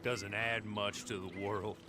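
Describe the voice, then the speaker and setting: An actor playing a sour, ignorant, folksy prison guard in a western video game. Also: male voice, lowish voice, flat delivery, takes it slowly. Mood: grumbling, opinionated. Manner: coarse, folksy. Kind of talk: scripted western game acting. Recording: music under the voice.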